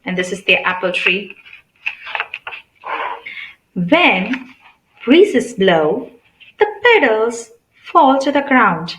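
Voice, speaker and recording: High, lilting woman reading to children. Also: sing-song, drawn-out words, pauses often, fairly bright tone, reverberant, slight background noise, poor audio. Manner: teacherly, asks questions and explains simply to young listeners. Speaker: read-aloud channel host. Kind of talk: children's picture book read-aloud with explanations.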